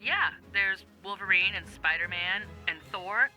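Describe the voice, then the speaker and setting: A woman's voice: medium pitch, unhurried, pushed and bright, faint music behind, poor audio. A woman playing a patient, tactful recording-session director, professional, in a comedic game scene. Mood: businesslike. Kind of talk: comedic game cutscene acting.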